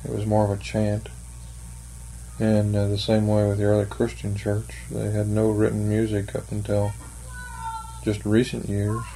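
An older man answering interview questions slowly and thoughtfully, with many pauses and fillers. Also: on the low side, completely flat delivery, vocal fry, mellow, poor audio. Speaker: interviewee, church member. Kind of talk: oral history interview.

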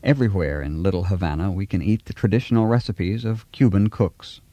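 Low, mellow male documentary narrator. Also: dry recording. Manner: measured, even informative delivery.

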